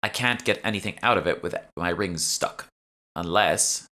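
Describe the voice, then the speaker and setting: A man acts out a brusque female alchemist from an urban fantasy audiobook. She is irritable and sardonic, explaining things curtly with a sharp, forceful edge. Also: deep voice, normal speed. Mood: strained, impatient. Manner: curt, sardonic, sweary. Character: brusque, irritable young woman, know-it-all alchemist partner.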